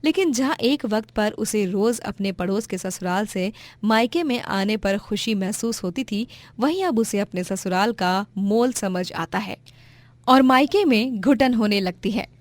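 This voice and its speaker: A woman's voice: mid-range voice, lively intonation, measured pace, no echo. A woman reading a scripted moral story as its narrator.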